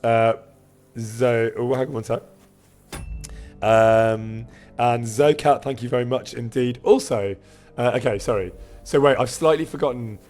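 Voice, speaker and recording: A man streaming a game with casual, self-deprecating commentary, in a husky voice, chatting with his viewers. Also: medium pitch, a little slow, darkish tone, faint music behind.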